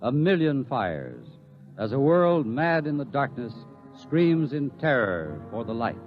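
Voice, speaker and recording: Solemn, expressive male radio drama narrator. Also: mid-range voice. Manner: solemn, ominous, measured. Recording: dull lo-fi, faint music behind.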